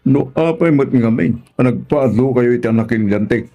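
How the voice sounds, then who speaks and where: An older Filipino uncle in a radio drama, played by a male actor, gravelly and mellow. He speaks with gruff, caring concern for his family. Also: medium pitch, moderate pace. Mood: sympathetic, gently chiding.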